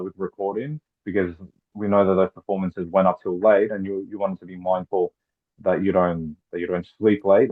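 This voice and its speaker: A man from Argentina talking casually and chattily over a video call. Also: sits low. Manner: chatty, filled with "like". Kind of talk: casual conversation. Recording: tinny phone-like line.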